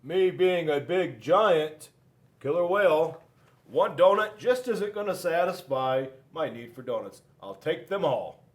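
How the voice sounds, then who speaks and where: A man voicing a huge, greedy, boastful killer whale in a storybook, slow and emphatic. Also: on the high side, animated. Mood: booming, greedy.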